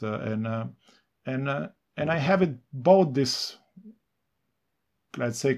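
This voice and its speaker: A male novelist from Slovenia, a native Serbo-Croatian speaker, answering interview questions reflectively in English with many pauses, fillers and restarts. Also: mid-range voice, a little mellow, lo-fi sound.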